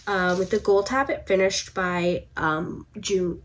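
A woman's voice: mid-range voice, a little slow. A young woman with a congested, stuffy voice, chatting casually about her cross stitch projects from a home room, with lots of fillers and restarts. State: congested from a cold. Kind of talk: casual chat to camera.

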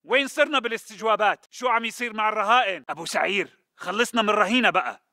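A man's voice: high-pitched, rapid-fire, pushed and bright, no echo, studio quality, heavily acted. A man playing a tense, impatient militant guard with a Levantine Arabic accent, giving urgent video game chatter.

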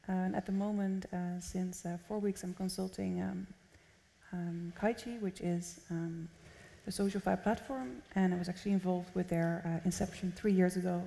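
Young woman with a gravelly edge, panel. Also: fairly low pitch, steady tone, normal speed, mellow. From Netherlands. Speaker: panelist, venture consultant. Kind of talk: conference panel talk. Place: large conference hall stage. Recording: long reverb of a large hall.

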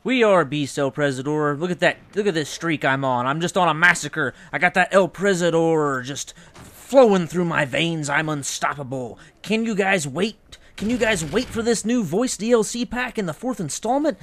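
Male game narrator, fairly high voice, very animated delivery, mock-reverent hype. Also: moderate pace. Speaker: gaming video narrator. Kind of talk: mock-promotional game commentary.

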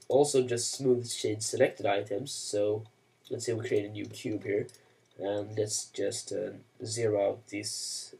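A man whose first language is Swedish talks through a screen-recorded tutorial in a gravelly, fry-laden voice. He rambles, cracks dry jokes at his own expense and leans on "uh" and "you know". The mic sits some distance away, so he sounds a little removed. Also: fairly low pitch, a little mellow. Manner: rambling, self-mocking asides, many "uh" and "you know". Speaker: software tutorial maker.

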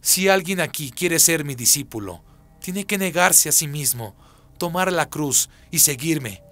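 A man's voice: mid-range voice, a bit bright, close to an everyday voice. A man voicing a firm, authoritative prophet, preaching solemnly and emphatically in a radio drama. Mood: stern, emphatic. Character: Jesus, firm authoritative male prophet and teacher.

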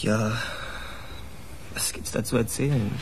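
A man's voice: on the low side, steady tone, measured pace, darkish tone, a little hiss or hum, natural voice, rough recording. A man in his twenties playing a sullen, defensive young policeman under questioning in a radio crime drama. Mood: sullen, evasive. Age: 20s. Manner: terse, evasive, defensive.

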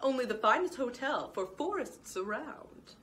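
A woman's voice: fairly high pitch, expressive, a little slow, a bit bright, a little hiss or hum, voice pushed up. A woman voicing a fussy, overly polite male lizard who works as a hotel clerk, speaking formally and eagerly.

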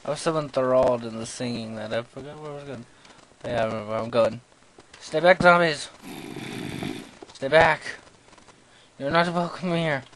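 A young man giving goofy, self-mocking commentary while playing a game, yelling at monsters and talking to himself. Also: mid-range voice, moderate pace, pauses often. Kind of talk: let's play commentary. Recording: noisy home recording.

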